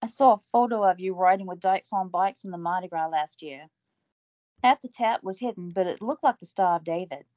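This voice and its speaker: A woman's voice: lowish voice, fairly quick, darkish tone, dry recording, lightly acted. An actress playing an earnest young woman reporter who is also an army medic, asking questions. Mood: curious. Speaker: interviewer. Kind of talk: audio drama acting. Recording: dull lo-fi recording.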